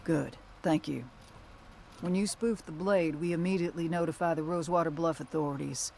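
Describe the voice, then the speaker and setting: A woman's voice: low-pitched, fairly even intonation, brisk, gravelly, a little mellow, slight background noise. An actress playing a tough, resolute bounty hunter who leads her team in terse, plain-spoken game dialogue. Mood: resolute, businesslike. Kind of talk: video game dramatic dialogue.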